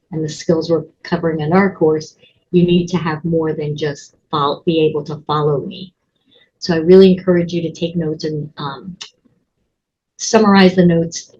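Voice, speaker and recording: A woman's voice: deep voice, a little mellow, dull audio, a little hiss or hum. A woman college instructor giving a casual walkthrough of her course, chatty and full of ums and restarts. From Connecticut, USA. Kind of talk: screen-recorded course walkthrough.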